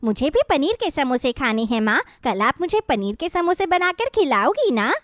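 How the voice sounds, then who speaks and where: A woman voicing an eager, sensible young village girl who talks to her mother in animated storybook dialogue. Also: high voice, moderate pace, dry recording, clean studio sound. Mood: eager, coaxing.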